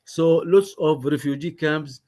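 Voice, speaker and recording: A man with an Arabic accent lecturing as a professor, talking haltingly with many fillers and restarts. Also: fairly high pitch, warm tone. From Gaza Strip, Palestine. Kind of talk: academic lecture.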